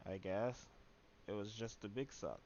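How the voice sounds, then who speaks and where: A man's voice: fairly low pitch, a little slow, muffled recording. A man giving casual, laconic play-along commentary as he streams a game, chill and slangy. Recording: loud game noise and clicking. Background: game audio, clicks.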